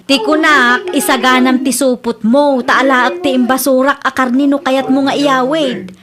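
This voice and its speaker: Gossipy, easily embarrassed Ilocano wife, very high voice, radio comedy drama, played by a woman. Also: steady tone, moderate pace, crowd noise, close to an everyday voice. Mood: indignant, mocking.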